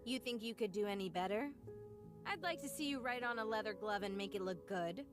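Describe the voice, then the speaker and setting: A woman's voice: medium pitch, brisk, music in the background, lightly acted. A woman voicing a kind, reserved and steadfast female soldier in an English-language video game. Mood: wry.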